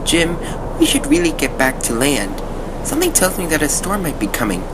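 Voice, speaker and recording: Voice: mid-range voice, huge pitch swings, moderate pace, noisy background. An actor voicing a small, anxious, eager talking sailboat in an amateur audio drama. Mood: worried.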